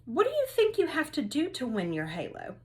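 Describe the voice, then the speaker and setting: Woman acting a playful, animated schoolteacher. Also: high-pitched, a little fast, voice pushed up, exaggerated voice. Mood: teasing. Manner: emphatic, teasing. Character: upbeat, playful female third-grade teacher, teasing her class.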